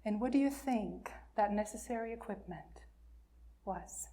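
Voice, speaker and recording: A woman minister telling a story to a congregation of all ages, pausing and putting questions to her listeners. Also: mid-range voice, warm tone.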